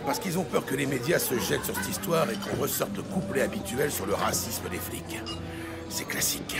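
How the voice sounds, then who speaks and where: An actor playing a hard, cynical cop who swears and talks in street slang in a French audio drama. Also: male voice, medium pitch, animated, normal speed, creaky. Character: hard, defiant cop, cynical and menacing when crossed. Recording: loud music behind the voice.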